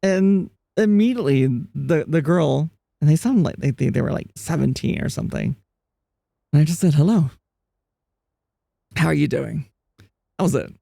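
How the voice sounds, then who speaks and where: A chatty streamer talking casually through a live game, often breaking into laughter. The voice is gravelly and mellow, and it is caught dry and close with no room sound. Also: mid-range voice, expressive, normal speed, long pauses, no room sound. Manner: chatty, laughs often, reads chat aloud; frequent restarts and fillers. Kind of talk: casual live-stream chat while gaming.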